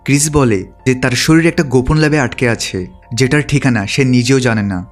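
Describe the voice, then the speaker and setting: A man's voice: mid-range voice, fast talker, faint music behind. A man reading a scripted film recap as a storytelling narrator.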